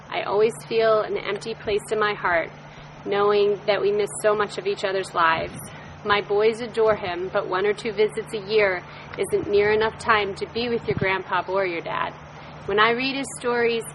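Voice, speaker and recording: A woman reading aloud a heartfelt email she wrote, in a measured way, on stage. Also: mid-range voice, fairly bright tone, a little hiss or hum, low-quality recording. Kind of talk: reading a letter to an audience.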